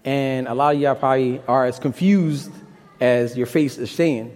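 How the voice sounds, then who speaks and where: A man's voice: medium pitch, fairly even intonation, moderate pace, darkish tone. A young man from Brooklyn with a Brooklyn accent preaching a sermon on a campus, with impassioned rhetorical questions and a little casual slang. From Brooklyn, New York. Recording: long reverb in a large hall.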